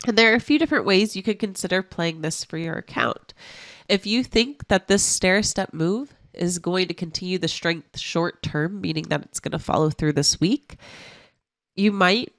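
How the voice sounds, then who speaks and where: A woman who sounds stuffy from a cold, walking through trading charts as an options analyst in a clear, explanatory way. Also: fairly low pitch, moderate pace, fairly bright tone, dry recording.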